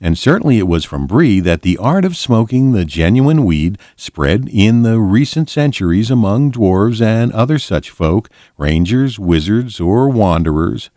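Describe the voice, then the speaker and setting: Deep-voiced man reading expressively aloud. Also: very animated, measured pace, dark tone, no echo, clean studio sound. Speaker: volunteer storybook reader. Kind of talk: scripted audiobook narration of a fantasy book.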